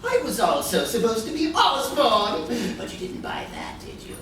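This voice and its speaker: A man's voice: high voice, huge pitch swings, normal speed, pushed and bright, heavily acted. A man playing a manic, gloating supervillain who taunts in a cackling sing-song, in a live radio play. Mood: gloating command. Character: manic, gloating male supervillain, mocking and bossy. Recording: echoey hall from far off, noisy.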